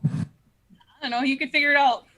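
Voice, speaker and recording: Bright, high-voiced woman playing a tabletop game. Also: fairly even intonation, fast talker, rough recording. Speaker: player. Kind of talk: casual tabletop roleplay banter.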